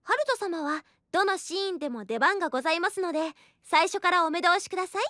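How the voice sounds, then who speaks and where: Scheming young princess, super high dainty voice, close dry sound, formal anime drama style. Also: female voice, lively intonation, pushed and bright, dry recording, full character voice. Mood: breezy, unapologetic. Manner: very formal, courtly, playfully brisk. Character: polite, scheming young princess writing a play. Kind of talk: Japanese anime audio drama acting. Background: faint background music.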